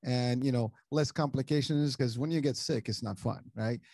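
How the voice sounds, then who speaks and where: A man's voice: medium pitch, normal speed, a little mellow, no room sound, clean studio sound. A middle-aged man from Morocco hosting a casual interview, talking in long rambling sentences full of restarts and repeated words. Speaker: podcast host.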